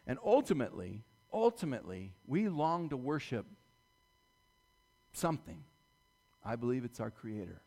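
A man preaching a conversational sermon in a slightly scratchy voice, informal and discursive, often checking in with his listeners. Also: on the high side. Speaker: church preacher.

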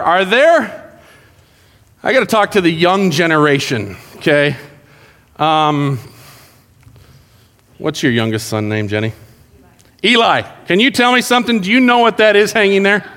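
A man's voice: sits high, fairly bright tone, big-room sound. A pastor speaking to his congregation in a casual, joking sermon style. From South America. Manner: jokey, conversational, addresses congregation directly. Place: church sanctuary.

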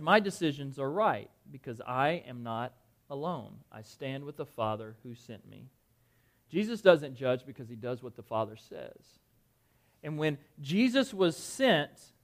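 A man preaching an interactive sermon to a live audience in a dim room, talking conversationally, quizzing listeners and often repeating words. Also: fairly high pitch. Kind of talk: interactive Bible-study sermon.

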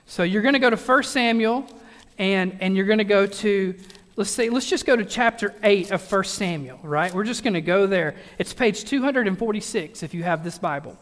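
Guy pastor with a high voice, joking around mid sermon, lots of echo in a big church. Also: moderate pace, fairly bright tone. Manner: chatty, self-deprecating humor, frequent restarts. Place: church sanctuary. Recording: long hall reverb.

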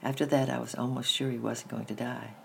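Earnest young girl, storybook reading, creaky voice, read by the narrator. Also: medium pitch, little pitch movement, brisk, fairly bright tone, slight background noise. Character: earnest young girl telling her secret.

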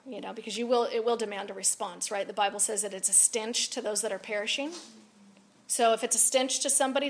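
Woman speaker, raspy, slightly high, lively storyteller who swings from loud to hushed. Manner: animated, confiding, rises and drops a lot. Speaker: speaker at a women's event. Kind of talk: personal storytelling talk.

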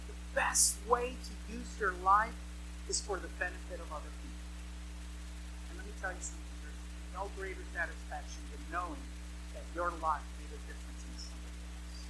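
A woman's voice: lowish voice, noisy background. A woman in her mid-thirties preaching a sermon to a congregation, with emphatic repeated phrases and rhetorical questions.

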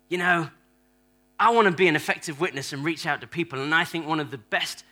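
A man preaches to a church congregation in a lively, joking way, slipping into small acted voices for the people he describes. His voice is very bright and sits slightly high for a man. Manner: animated, playful, acts out church members. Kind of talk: sermon with audience props. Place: church hall.

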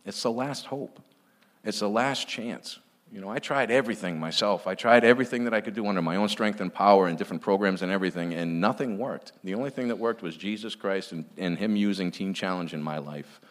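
A man's voice: lowish voice, a little fast. A man speaking earnestly at a church, giving an informal testimony with emphatic repetition.

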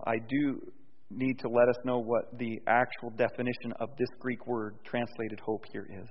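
A man preaching a sermon in a conversational way, dropping soft and low and then rising loud and emphatic. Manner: conversational, with restarts and fillers. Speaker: pastor. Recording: dull sound with long hall reverb.

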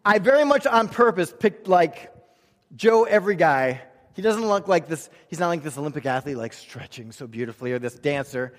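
A man's voice: fairly high pitch, lively intonation, moderate pace. A male pastor preaching a church sermon in an emphatic, conversational way, with rhetorical questions and repeated phrases. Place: large room, preaching to a congregation. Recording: reverberant hall sound.

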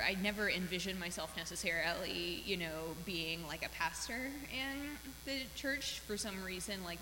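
A woman in her twenties from small-town Virginia, sharing a personal testimony in an earnest, conversational way. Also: lowish voice. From small-town Virginia, United States. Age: 20s. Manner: earnest, conversational, frequent 'like'. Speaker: seminary student sharing a testimony. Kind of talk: personal testimony, informal spoken. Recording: echoey hall with clear hum.

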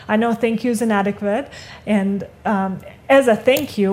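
A young woman who grew up speaking Russian, addressing a congregation from the stage. She speaks earnestly and warmly about her faith, with a voice of average height for a woman. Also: medium pitch. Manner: earnest, heartfelt. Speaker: guest speaker, ministry staff. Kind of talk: church testimony talk. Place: church stage before a congregation.

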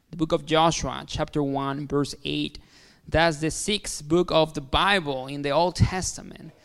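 A man with a Mexican Spanish accent preaches in a friendly, halting way, full of fillers and restarts. His voice sits a little high and sounds smooth and resonant. A long reverb trails behind him in a big hall. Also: fairly bright tone. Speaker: guest pastor. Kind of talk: sermon. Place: large hall.

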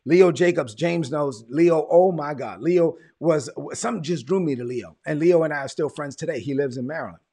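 A middle-aged American man giving an informal, conversational sermon of personal testimony, telling it like an animated story and repeating phrases for emphasis. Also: fairly high pitch, normal speed, few pauses. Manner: animated storytelling, acts out quoted dialogue, conversational.

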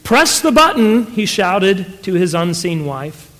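A man's voice: high voice, very animated, slow pace, fairly bright tone, full character voice. A man voicing a proud, easily flustered inventor husband from a story, insistent and questioning. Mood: urging. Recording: echoey hall with long reverb.

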